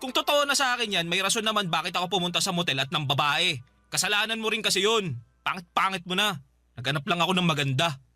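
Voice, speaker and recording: A man's voice: high-pitched, lively intonation, fast talker, bright, forceful tone, dry recording, soft background music, full character voice. A man playing a defensive, callous young boyfriend in a Tagalog radio drama, irritable and dismissive. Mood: scornful, blaming.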